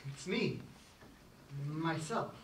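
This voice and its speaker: A young actor playing a poor village tailor, earnest, nervous and halting, in a film drama. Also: male voice, fairly high pitch, animated. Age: young adult. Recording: echoey, distant, noisy film soundtrack.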